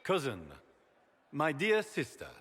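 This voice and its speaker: A man's voice: a little high, very animated, takes it slowly, a bit bright, husky, long reverb tail, exaggerated voice. A man playing an impatient, haughty grand duke in a fantasy game, speaking curtly and brusquely. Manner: curt, brusque.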